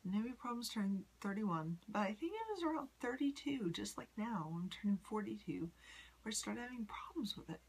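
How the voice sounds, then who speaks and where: A woman in her forties from the United States, rambling in a personal vlog with many ums and soft whispery asides. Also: medium pitch, sing-song, a little fast, husky, poor audio. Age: 40s. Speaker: vlogger. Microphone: phone.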